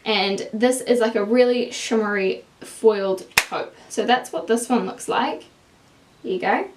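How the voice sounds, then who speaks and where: A woman's voice: fairly high pitch, unhurried, noisy background. A young woman with a strong New Zealand accent, chatting casually to camera as a beauty vlogger, walking through swatches of eyeshadows in a small room. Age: young adult. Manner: chatty, explains product swatches while holding up palettes. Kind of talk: casual makeup review to camera. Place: indoors, small room with plain wall.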